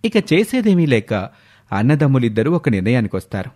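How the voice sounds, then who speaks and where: A man's voice: medium pitch, big pitch swings, fairly quick, darkish tone. A man narrating a scripted story as the narrator.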